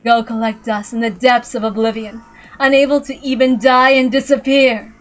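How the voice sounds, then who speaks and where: A woman's voice: fairly high pitch, little pitch movement, moderate pace, soft background music, close to an everyday voice. A woman voicing a cruel, gloating witch in a taunting, theatrical manner. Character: cruel, gloating female witch with cat minions.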